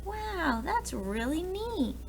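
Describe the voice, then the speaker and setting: Female children's story reader, expressive teacher delivery, very unhurried, faint noise behind. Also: very high-pitched, rough recording. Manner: expressive, talks directly to young children. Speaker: preschool teacher reading aloud. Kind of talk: children's picture book read-aloud with asides.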